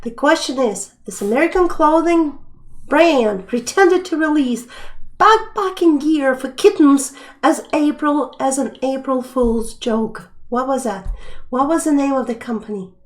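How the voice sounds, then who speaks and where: Woman, high voice, unhurried, chatty trivia host, live stream. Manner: chatty, reads questions and viewer names aloud. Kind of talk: casual live stream quiz hosting.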